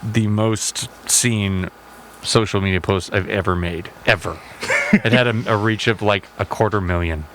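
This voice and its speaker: Bright-voiced man telling stories with a laugh. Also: measured pace, slight background noise, low-quality recording. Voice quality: deep voice. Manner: laughing, animated storyteller. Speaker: musician guest. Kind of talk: casual podcast chat.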